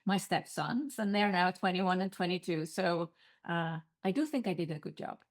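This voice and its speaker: A woman's voice: fairly low pitch, normal speed. A middle-aged woman with an Icelandic accent, a guest telling her story in an earnest way on a podcast interview. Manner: earnest, storytelling, occasional non-native phrasing.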